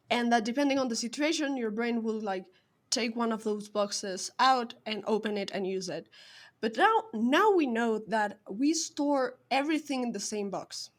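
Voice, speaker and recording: Higher-pitched woman. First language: Spanish. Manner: thoughtful, explanatory, academic. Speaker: podcast co-host. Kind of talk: casual podcast chat.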